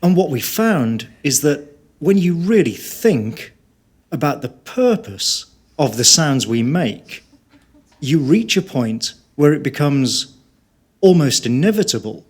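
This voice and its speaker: Pompous design visionary played by a man: unhurried, solemn delivery of grand nonsense for a parody promo. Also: mid-range voice, lively intonation. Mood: solemn, self-important. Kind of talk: mock promotional monologue at a live show.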